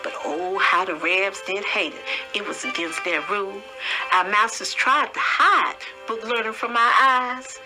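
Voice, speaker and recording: A woman's voice: medium pitch, measured pace, close to an everyday voice, poor audio. Woman playing a folksy old storyteller woman over music. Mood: wry reminiscing. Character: spirited elderly formerly enslaved woman reminiscing. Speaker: poetry reader. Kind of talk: dramatic first-person storytelling in verse.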